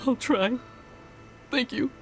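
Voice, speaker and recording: A man playing a dejected, lovelorn young man in a video game, halting and resigned. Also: very high-pitched. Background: prominent game music.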